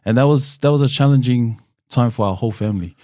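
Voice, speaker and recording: A man, a podcast guest telling his life story in a relaxed, open way with plenty of "um" and "kinda". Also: medium pitch, quick pace, no room sound, clean studio sound. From New Zealand. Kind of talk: candid conversational storytelling.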